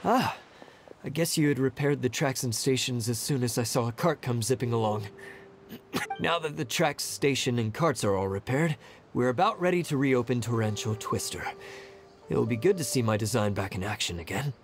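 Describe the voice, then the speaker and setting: A man voicing a sickly, mild-mannered young man in a video game, gentle and a little hesitant. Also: medium pitch, moderate pace, faint music behind, lightly acted. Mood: relieved, pleased.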